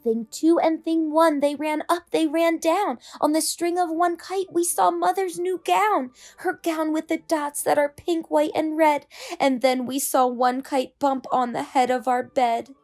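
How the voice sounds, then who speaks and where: High-voiced woman reading a children's storybook. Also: fairly even intonation, unhurried, darkish tone. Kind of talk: storybook read-aloud narration in rhyme.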